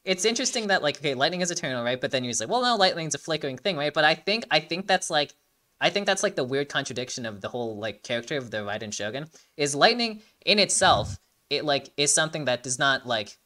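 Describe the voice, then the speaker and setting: An animated host giving casual reaction commentary and breakdown, rambling, repeating words and swearing. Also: medium pitch, quick pace.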